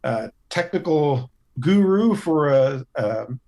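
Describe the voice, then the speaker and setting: A man chatting casually as a podcast cast member, in halting, thoughtful phrases. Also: a little high, animated, darkish tone.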